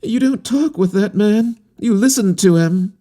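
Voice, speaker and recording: A man voicing an excitable, boyish young Russian man who talks in rapid, eager bursts with high, falsetto-like cries. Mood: exalted.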